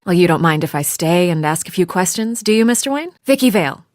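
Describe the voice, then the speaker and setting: An actress playing a confident newspaper reporter in a video game, forward and cool. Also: female voice, fairly low pitch, lively intonation, quick pace, no echo, studio quality, close to an everyday voice. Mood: probing, cool.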